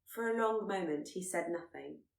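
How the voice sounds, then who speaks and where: A woman's voice: on the low side, normal speed, gravelly, echoey room. A woman reading a period romance story aloud as scripted narration. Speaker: audiobook narrator.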